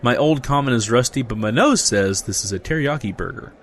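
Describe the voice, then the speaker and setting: A man plays a grumpy fantasy adventurer in an audio comedy. His medium-pitched voice delivers blunt, sarcastic remarks with a deadpan edge. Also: a little fast, lightly acted.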